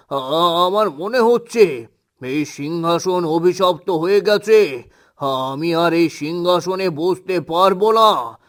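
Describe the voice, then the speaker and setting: Man acting a slow-spoken, troubled cartoon king. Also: high-pitched. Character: troubled, trusting adult male king, solemn and superstitious.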